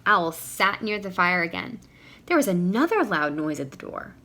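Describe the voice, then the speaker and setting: A woman's voice: on the low side, very animated, normal speed, bright, forceful tone. A woman reading a storybook aloud to young children in a teacherly way, putting questions to her listeners.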